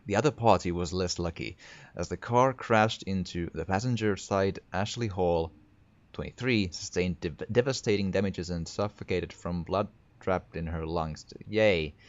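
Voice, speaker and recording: A man with a Finnish accent, talking through a horror game while he plays. His voice is very low, and he often trips and restarts his words as he thinks aloud. The recording is dull and dry, without room echo. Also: moderate pace. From Finland. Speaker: gaming video creator. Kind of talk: horror game let's play commentary.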